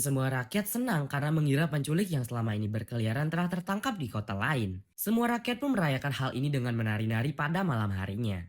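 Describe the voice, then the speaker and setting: Casting: movie recap narrator, scripted delivery, mid-pitched voice with animated intonation.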